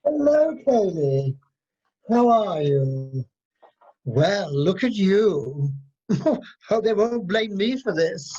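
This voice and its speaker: Casting: actor as affectionate, flamboyant man, unhurried, dull lo-fi call sound, amateur radio drama. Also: high voice, very animated, warm tone, husky, heavily acted. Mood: teasing. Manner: teasing, affectionate. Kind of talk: amateur radio play acting.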